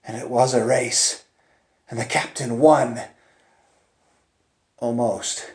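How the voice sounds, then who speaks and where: Male storyteller, mid-pitched and unhurried, theatrical suspense delivery with big dynamic swings, roomy sound. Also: steady tone. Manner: theatrical, swinging from hushed near-whispers to loud urgent peaks; deliberate, with dramatic pauses and repetition. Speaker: storyteller narrating in first person. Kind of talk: dramatic live oral storytelling of a suspense tale. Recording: roomy, echoing space.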